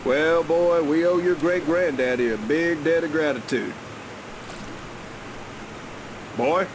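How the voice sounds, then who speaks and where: An actor playing a cocky, quick-tempered gunslinger in a video game, folksy and sardonic. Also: male voice, on the high side, noisy background, lightly acted. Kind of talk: dramatic video game acting. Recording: music behind the voice. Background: music.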